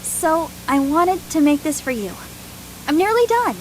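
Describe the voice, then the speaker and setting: A very high, girlish soldier from a game drama. She speaks slowly and earnestly, shy and easily flustered, with faint hiss behind. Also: very animated, a little mellow, poor audio. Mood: gentle, earnest.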